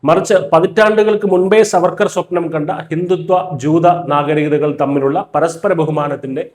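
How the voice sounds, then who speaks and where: A man's voice: a little high, fairly even intonation, moderate pace, a little mellow, reverberant. A man reading a scripted news voice-over in a firm, emphatic way. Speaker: news narrator.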